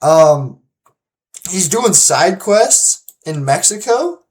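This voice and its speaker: Slow-talking, easygoing commentary host. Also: mid-range voice, sing-song, darkish tone. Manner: unhurried, loose, with many restarts and repeated words; confident, emphatic when making predictions. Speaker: host of a fight-prediction channel. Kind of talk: casual solo commentary and predictions.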